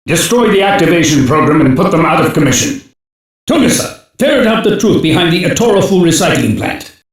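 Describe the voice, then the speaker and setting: An actor playing a stern, commanding older security chief who gives terse, authoritative briefings in an anime game. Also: male voice, a little high, animated, a little fast, close to an everyday voice. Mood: grave, businesslike. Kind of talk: English-dubbed anime game voice acting. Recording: very echoey, reverberant game audio.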